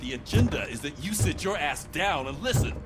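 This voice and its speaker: An actor playing a commanding middle-aged American police sergeant in a video game, blunt and authoritative. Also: male voice, on the high side, expressive, a little fast, over loud music, close to an everyday voice. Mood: stern, businesslike. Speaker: police sergeant giving a briefing.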